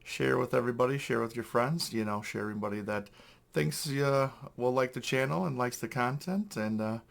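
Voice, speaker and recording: A young man, hoarse from losing his voice, hosting a casual unboxing review with many fillers and throat clearing. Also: medium pitch, a little fast. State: hoarse, lost voice. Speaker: toy collector channel host.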